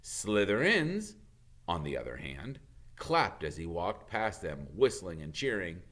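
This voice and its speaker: A man, a teacher, reading a storybook aloud with expressive narration. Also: low voice, measured pace.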